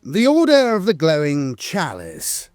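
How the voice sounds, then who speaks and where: Animated, higher-pitched man narrating lore. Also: a little slow, no echo, studio quality, soft background music. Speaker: channel narrator. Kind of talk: scripted lore narration read aloud.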